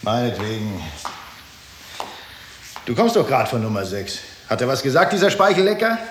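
A man's voice: medium pitch, big pitch swings, moderate pace, close to an everyday voice. Guy acting tipsy sly office boss in old radio play, insinuating, swoopy voice, echoey room. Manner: wheedling, insinuating, sardonic. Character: boozy, scheming, suspicious male department head. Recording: roomy old radio recording, hiss and clicks.

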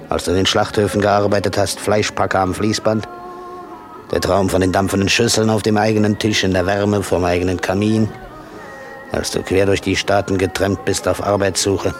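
A man's voice: deep voice, close to an everyday voice. An actor voicing a brooding, taunting inner voice of a weary hitman in a radio drama, sardonic and insistent. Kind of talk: dramatic monologue in radio play.

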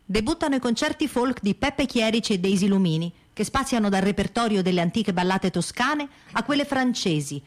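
A woman's voice: mid-range voice, animated, brisk, few pauses, close to an everyday voice. An actress playing a nostalgic woman narrating memories of a small theatre for radio. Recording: music behind the voice. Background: music.

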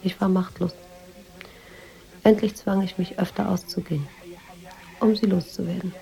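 An actress playing a troubled, introspective woman writer in a radio drama monologue, speaking in a measured, confiding way. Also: fairly low pitch, fairly even intonation, vocal fry, dark tone, poor audio. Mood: confiding, uneasy.

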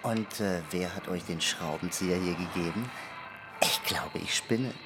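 An actor playing a gruff, sarcastic, menacing male prison guard in a radio drama. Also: low voice, unhurried, noisy background. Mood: mocking, threatening. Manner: gruff, mocking.

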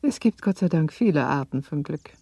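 A woman's voice: low-pitched, lively intonation, moderate pace, creaky, mellow, husky, dry recording, heavily acted. A woman acting a comforting but firm older mother in a radio play, soothing and insistent. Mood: consoling, hopeful.

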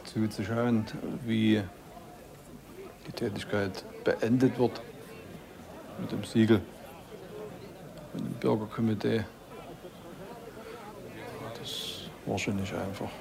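Man from East Germany, monotone, creaky, halting speech, crowd noise behind. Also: lowish voice. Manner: halting, searching for words. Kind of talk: halting interview recollection. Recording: busy crowd murmur behind.

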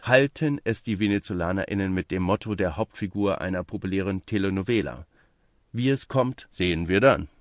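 A man's voice: lowish voice, dry recording, clean studio sound. A man reading a scripted piece of political journalism aloud as narration. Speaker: reader of a translated news article.

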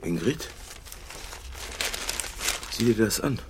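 A man's voice: on the low side, animated, drawn-out words, background noise. An actor playing an ambitious middle-aged father and engineer in a radio drama, speaking with brooding intensity. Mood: eager, determined.

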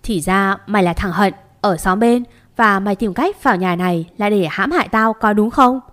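A woman's voice: medium pitch, moderate pace, natural voice. Woman voicing a furious stern husband. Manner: shouting, interrogating. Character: stern angry wealthy older husband, commanding.

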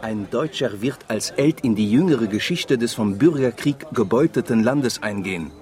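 A man's voice: mid-range voice, animated, moderate pace, a little mellow, natural voice. An actor playing a sardonic male colleague in a radio drama, who peppers his German with French. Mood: sardonic reading. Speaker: supporting actor.

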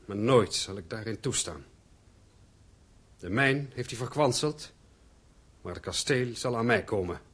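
A man's voice: medium pitch, lively intonation, unhurried, pauses often, a bit bright, breathy edge. An actor playing a gloomy, stubborn, resentful man in a radio play, speaking with brooding emphasis. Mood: bitter, resolute. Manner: brooding, heavy-hearted, emphatic. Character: gloomy, resentful, stubborn estranged son and father.

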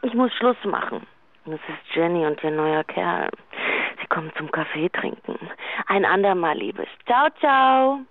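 An actress playing a brisk, breezily commanding well-off woman on the telephone in a radio drama. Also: lowish voice, lively intonation. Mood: hurried, breezy. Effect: telephone filter. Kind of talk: German radio drama phone call. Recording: thin tinny phone line.

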